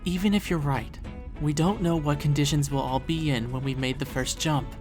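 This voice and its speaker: An earnest space pirate crewmate, played by a woman, with a low voice. She speaks in a measured, explanatory way in an audio drama, cautious throughout, with clear music behind her. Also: darkish tone, lightly acted. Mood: worried, earnest. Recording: background music under the voice.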